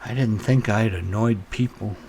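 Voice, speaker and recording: A man's voice: fairly low pitch, moderate pace, creaky, dark tone, a little hiss or hum. A man voicing a wry male adventurer musing to himself about his enemies in a tabletop game.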